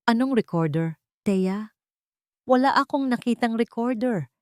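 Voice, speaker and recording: A woman's voice: a little high, measured pace, dry recording, studio quality, pitched above the performer's own voice. Woman putting on a cold, commanding husband. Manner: flat, emotionless.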